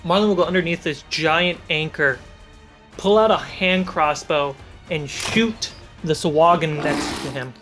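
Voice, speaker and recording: A game master hosting a tabletop fantasy show. The voice sits at a middling pitch, with music clearly playing behind it. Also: measured pace, fairly bright tone. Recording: background music under voice. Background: background music.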